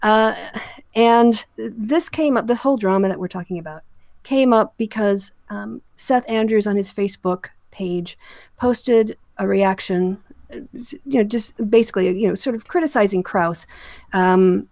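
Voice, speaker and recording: A woman hosts a casual online discussion in a mid-pitched voice with noticeable creak. She talks in a halting way, often rephrasing as she goes. The sound is dry, with very little room in it. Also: darkish tone, no echo. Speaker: host of an online discussion channel. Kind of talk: casual commentary with guests.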